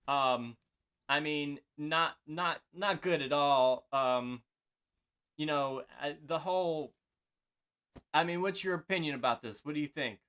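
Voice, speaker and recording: A man's voice: fairly high pitch, measured pace, fairly bright tone, clear, resonant tone. A man co-hosting a casual wrestling podcast, rambling with many fillers and restarts. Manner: rambling, filler-heavy, hesitant. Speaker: podcast co-host.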